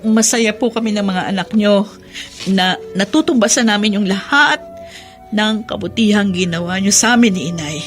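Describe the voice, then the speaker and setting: A woman's voice: medium pitch, moderate pace, faint music behind, lightly acted. An actress playing a devoted grown Filipino daughter in a radio drama, tender, earnest and emotional as she reassures.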